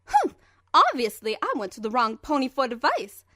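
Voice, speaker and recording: A woman's voice: high voice, huge pitch swings, moderate pace, bright, forceful tone, no room sound, studio quality, exaggerated voice. A woman voicing a theatrical, huffy lady cartoon pony, reading an indignant letter aloud. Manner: indignant, dramatic.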